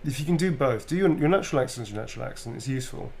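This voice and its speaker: A man's voice: mid-range voice, lively intonation, very fast, vocal fry, darkish tone, a little hiss or hum. A British man, a voice actor, answering fan questions in a loose, chatty way with plenty of ums and restarts. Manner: chatty, loose and informal, full of ums and self-corrections. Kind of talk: casual live Q&A.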